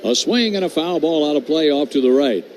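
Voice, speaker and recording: A man working as a radio play-by-play announcer, calling baseball pitch by pitch in a brisk, steady way that rises on big plays. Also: slight background noise, rough recording. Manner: brisk, steady calls that lift on hits.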